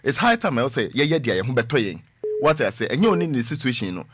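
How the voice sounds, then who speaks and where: A man's voice: mid-range voice, very animated, quick pace, noisy background. A man giving advice on the radio, mixing in English phrases. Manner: mixes English phrases, advising.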